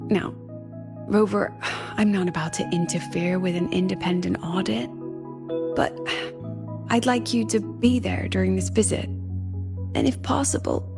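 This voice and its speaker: A shrewd woman who runs an academy, speaking in a coaxing, conspiratorial way. Her mid-pitched voice has a gravelly edge of fry. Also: unhurried, pauses often, over music. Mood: earnest. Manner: coaxing, conspiratorial, slightly awkward. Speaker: academy professor. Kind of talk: video game voice acting.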